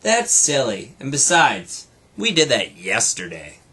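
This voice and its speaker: Man playing boy bear cub, storybook character, stubborn, dismissive, bright forceful tone. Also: mid-range voice, wildly swooping pitch, unhurried, full character voice.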